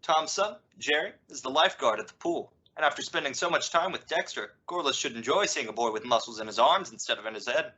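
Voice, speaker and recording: Woman playing a low, animated, sarcastic radio dad. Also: fast talker, few pauses, slight background noise, exaggerated voice. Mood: wryly sarcastic. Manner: dry sarcasm with emphatic swoops. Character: wry, exasperated middle-aged suburban father, sarcastic but loving. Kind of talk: old-time radio sitcom acting. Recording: dull, lo-fi audio.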